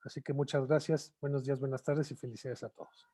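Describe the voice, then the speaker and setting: A man's voice: mid-range voice, fairly even intonation, fast talker, mellow. A man giving a closing speech at a webinar, searching for words with frequent fillers and repeated phrases.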